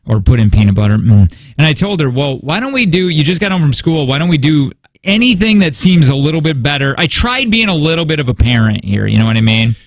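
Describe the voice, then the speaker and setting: A man's voice: a little high, big pitch swings, quick pace, no room sound. Male radio host, 30s, rambling and self-doubting, dry studio sound. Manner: rambling, self-reproachful, many restarts. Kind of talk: casual morning radio chat. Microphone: studio mic.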